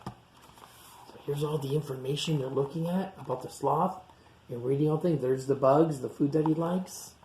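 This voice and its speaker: A woman reading a picture book aloud to children, chatting to them in animated asides and questions. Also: low voice, moderate pace, a little hiss or hum, low-quality recording. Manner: animated asides to young listeners, asking questions. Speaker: storytime reader.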